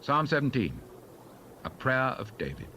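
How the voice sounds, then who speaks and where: A man's voice: mid-range voice, big pitch swings, unhurried, pushed and bright. A man giving formal, scripted announcements of scripture titles.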